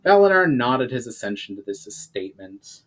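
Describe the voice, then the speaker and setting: A man's voice: mid-range voice, sing-song, normal speed, a bit bright. A man narrating a fantasy story as a dramatic reading of his own book.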